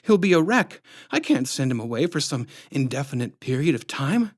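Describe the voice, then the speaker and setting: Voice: medium pitch, sing-song, normal speed. A narrator voicing a worried mother who pleads anxiously for her son.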